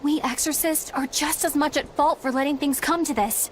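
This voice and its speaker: A woman's voice: high-pitched, normal speed, a little hiss or hum, natural voice. An actress playing an earnest, dutiful young woman exorcist in a video game, speaking sincerely. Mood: firm. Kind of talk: dramatic video game voice acting.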